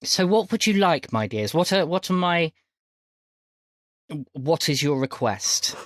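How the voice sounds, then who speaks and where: Woman acting elderly magical granny, tabletop game character, slow delivery, courteous, shrewd, close dry sound. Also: low voice, expressive, no room sound, voice pushed up. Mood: genteel, approving. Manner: courteous, deliberate, calls listeners dears.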